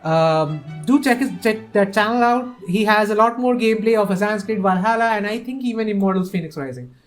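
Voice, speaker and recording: A woman from India, hosting a casual, excitable and opinionated game preview and often restarting her sentences. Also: medium pitch, fairly quick, faint music behind. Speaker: gaming video host.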